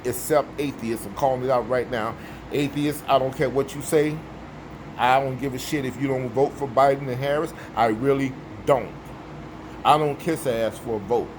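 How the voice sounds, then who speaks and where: A man with a baritone voice giving an emphatic, preachy opinion monologue, repeating his points for effect. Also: noisy background. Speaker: vlogger.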